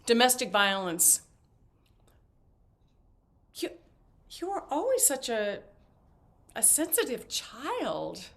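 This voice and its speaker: Woman acting chirpy, rambling, flighty mother, very animated. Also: a little high, takes it slowly, creaky, long pauses, exaggerated voice. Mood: rambling, eager. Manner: rambling, chirpy, theatrical trivia-telling. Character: talkative, flighty, intrusive older mother and grandmother. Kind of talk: stage play acting.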